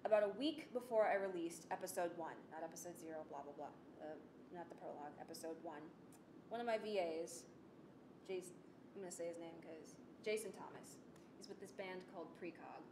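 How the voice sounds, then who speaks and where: A young adult woman giving a casual presentation, chatty, animated and self-deprecating. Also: lowish voice, fairly quick, noisy background. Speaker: student presenter of an audio drama project. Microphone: desktop microphone on the table. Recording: roomy, echoey sound with music behind.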